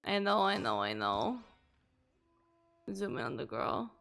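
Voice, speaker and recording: A woman's voice: rumbling low, big pitch swings, unhurried, gravelly, a bit bright, soft background music. A young woman who sounds tired, chatting casually to viewers as a game streamer. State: sleepy, tired. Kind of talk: casual livestream chat.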